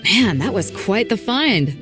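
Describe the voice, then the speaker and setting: A woman's voice: mid-range voice, sing-song, takes it slowly, a bit bright. An actress voicing a bold, confident woman ship captain in a video game, casual and hearty. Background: faint game music.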